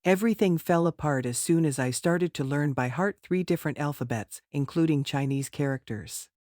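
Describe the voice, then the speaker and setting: Measured man giving rehearsed test answers. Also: fairly high pitch, a little mellow, dry recording, clean studio sound. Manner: formal, rehearsed, measured delivery. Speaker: test candidate. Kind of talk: scripted mock speaking-test answers.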